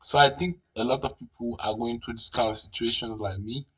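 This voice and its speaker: A man's voice: medium pitch, steady tone, a little fast, fairly bright tone, far from the mic, rough recording. A man whose first language is French, talking through a screen tutorial with many fillers and restarts. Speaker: tutorial host. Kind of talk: casual screen-recorded tutorial.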